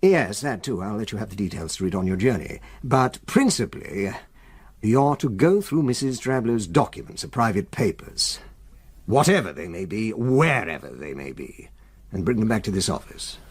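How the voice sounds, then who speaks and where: A man's voice: fairly low pitch, very animated, moderate pace, husky. An actor playing a genial, evasive senior solicitor, an older Englishman with an avuncular, hesitant manner and old-fashioned phrasing, in a radio drama. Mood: persuasive.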